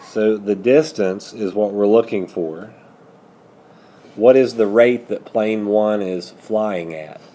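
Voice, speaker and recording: A man's voice: lowish voice, slow, dark tone. A man working methodically through a math lesson as a teacher, patiently prompting students with questions. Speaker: high school algebra teacher.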